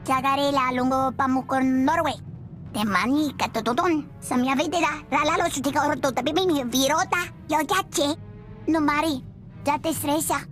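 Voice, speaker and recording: A female alien in a video game. Her voice is high and runs through an invented tongue, with faint music behind. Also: normal speed, a bit bright. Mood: intent.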